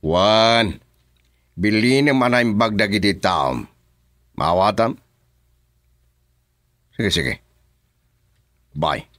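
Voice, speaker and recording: An actor with a Filipino accent playing a cool, domineering crime boss in a radio drama. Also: male voice, fairly low pitch, animated, very slow, pauses often, a bit bright, dry recording, studio quality, heavily acted. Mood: smooth, businesslike. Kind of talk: Ilocano radio drama acting.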